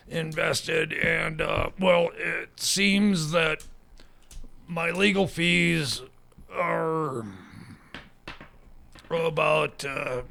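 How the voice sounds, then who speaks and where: Guy doing a grumbly hapless mechanic sidekick for radio comedy, real creaky voice, slow, lots of uhs. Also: fairly high pitch, pushed and bright, full character voice. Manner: slow, hemming and hawing, grumbling. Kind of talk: improvised radio comedy skit.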